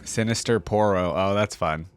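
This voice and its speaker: A man's voice: on the low side, normal speed, background noise. A young man hosting a reaction video, commenting casually as he goes.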